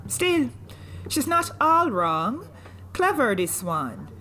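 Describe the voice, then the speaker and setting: A woman's voice: high voice, sing-song, bright, forceful tone, exaggerated voice. Shrewd, swooping-voiced smuggler woman. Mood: wry, measured. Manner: deliberate, wry, authoritative, nonstandard grammar. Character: shrewd, commanding woman smuggler captain, wry and unsentimental. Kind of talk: audio drama acting.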